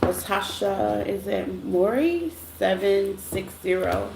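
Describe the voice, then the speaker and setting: A woman's voice: medium pitch, animated, slow pace, gravelly. Scratchy-voiced woman chatting on a livestream. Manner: greets chatters by name, loose and chatty. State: voice rough after a silent morning. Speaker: tarot reader hosting a live stream. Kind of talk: casual livestream chat with viewers. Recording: echoey room with faint noise.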